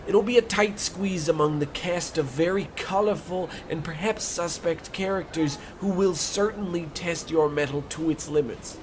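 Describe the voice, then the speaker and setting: An actor playing an eager, folksy, fawning young ticket clerk in an old-time radio comedy. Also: male voice, on the high side, background noise, lightly acted. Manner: chirpy, bootlicking, excitable. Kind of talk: old-time radio play parody acting.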